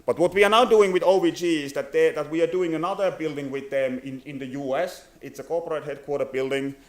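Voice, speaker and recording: A man from Finland in his thirties with a Finnish accent, giving a business presentation at a conference, halting with many fillers and restarts. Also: on the high side, fairly even intonation. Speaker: company presenter. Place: conference venue.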